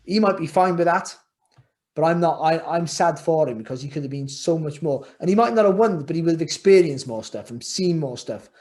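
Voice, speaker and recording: A man's voice: fairly high pitch, lively intonation, a little fast, a little mellow. Earnest man on a video call. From Wales. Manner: earnest, repeats phrases and restarts, rising to emphatic. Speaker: podcast guest, former boxer. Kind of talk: casual interview chat. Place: home room, indoors.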